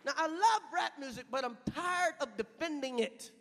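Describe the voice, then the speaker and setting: A man in his thirties performing a loud stand-up comedy set on stage, shouting punchlines and chanting lyrics. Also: extremely high pitch, lively intonation, normal speed. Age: 30s. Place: large venue on stage. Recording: live hall with loud crowd and noise.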